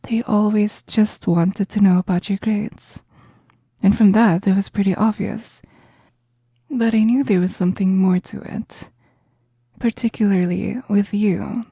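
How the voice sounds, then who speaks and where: A woman performs a kind, understanding female professor in an intimate roleplay audio. Her voice is soft and very breathy, with a slow, gentle, reassuring delivery. The sound is dry and close. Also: mid-range voice, dry recording. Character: caring, understanding female math professor counselling a student.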